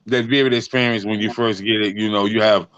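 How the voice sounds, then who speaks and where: Low-voiced man hosting a live podcast. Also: fairly even intonation, fast, fairly bright tone, clear, resonant tone, no room sound, muffled recording. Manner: enthusiastic, repeats words. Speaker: podcast host.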